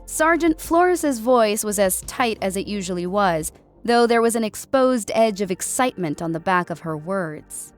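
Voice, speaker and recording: A woman's voice: medium pitch, animated, normal speed. A woman reading scripted fiction as an audiobook narrator. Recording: music bed under voice. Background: background music.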